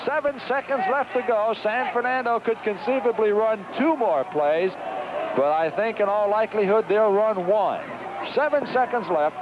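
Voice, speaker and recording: A man's voice: sits high, normal speed. An American man calling a live football game play by play on the radio, in a muffled, noisy 1970s broadcast recorded at an outdoor stadium. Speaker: play-by-play sports announcer.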